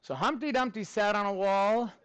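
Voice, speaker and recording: A man with a high-pitched voice works as a teacher in front of his class. He talks informally and with animation, encouraging the students as he goes, and the sound is close and dry. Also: moderate pace, fairly bright tone, smooth, no room sound. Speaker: middle school math teacher.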